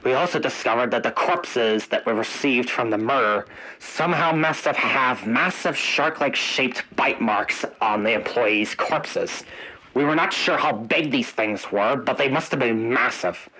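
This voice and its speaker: A man's voice: medium pitch, a little slow, sharp tone, poor audio. A man playing a police officer making a statement in an amateur analog-horror emergency broadcast. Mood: grave, official. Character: male police officer giving grave warnings, panicking under attack.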